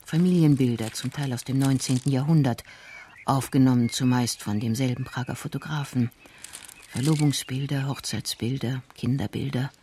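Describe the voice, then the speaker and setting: A man's voice: medium pitch, darkish tone. A man reading scripted narration for a radio crime drama. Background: music.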